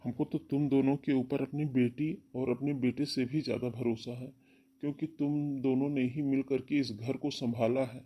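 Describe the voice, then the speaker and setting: A man voicing a gentle, powerless ageing father, soothing and yielding, in a cartoon family melodrama. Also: medium pitch, fairly even intonation, normal speed, close to an everyday voice. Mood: resigned.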